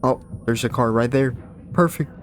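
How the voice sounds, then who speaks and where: A man with a deeper, just-woke-up morning voice, chatting casually and rambling over his gameplay as a gaming video host. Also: normal speed, over music. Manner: chatty, rambling, self-correcting. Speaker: gaming YouTuber. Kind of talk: casual let's-play commentary. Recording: voice over loud game audio.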